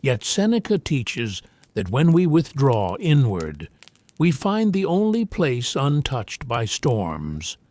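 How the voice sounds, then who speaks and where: A man narrates a scripted guided sleep meditation in a calm, measured, solemn way. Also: medium pitch.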